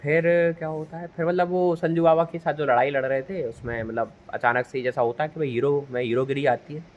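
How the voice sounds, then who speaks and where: A man's voice: fairly high pitch, steady tone, a little fast, muffled recording. A young man gives a casual, rambling and jokey spoken recap of a film, acting out bits as he tells it. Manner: jokey, rambling storyteller, acts out dialogue. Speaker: movie reviewer. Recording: noisy ambient location recording.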